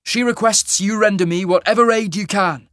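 A male narrator plays a proud young-spirited male traveller in a fantasy audiobook, pitched well up. He speaks formally and insistently, full of earnest purpose. The sound is very dry. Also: normal speed, a bit bright, dry recording.

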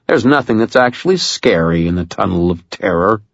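A man's voice: lowish voice, lively intonation, moderate pace, no echo, muffled recording. A man voicing a wry, cocky male investigator with an amiable, sardonic manner.

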